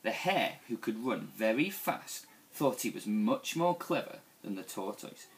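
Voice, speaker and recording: A young man reading a picture book aloud to children in an expressive, deliberate storytelling style. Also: fairly low pitch. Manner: animated, deliberate storytelling delivery. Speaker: school staff member reading to young children.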